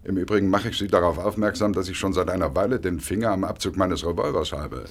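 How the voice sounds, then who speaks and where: An actor playing a suave, smug blackmailer in a radio drama, speaking with unctuous mock politeness and drawn-out hesitations. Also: male voice, sits low. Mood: cool threat. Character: suave, smug male blackmailer, oily and coolly menacing.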